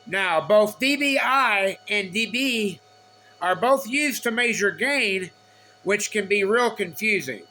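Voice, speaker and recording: A man giving an informal technical explanation from notes, speaking haltingly in short, repeated phrases. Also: sits high, lively intonation, sharp tone, slight background noise. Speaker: explainer of radio antenna measurements.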